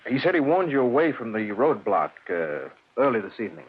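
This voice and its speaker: An actor playing a probing, matter-of-fact police lieutenant in an old radio drama, measured and businesslike. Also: male voice, mid-range voice, big pitch swings, breathy edge, phone-quality sound. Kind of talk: 1940s-50s radio drama acting. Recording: thin, noisy old radio broadcast.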